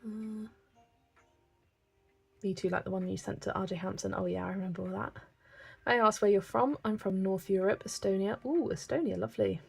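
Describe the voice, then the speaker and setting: A woman's voice: medium pitch, fairly quick, vocal fry, pauses often, breathy edge, faint music behind. A woman from England, chatting casually and reading viewer comments while she colours on a livestream. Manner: chatty, relaxed, thinking aloud with small laughs.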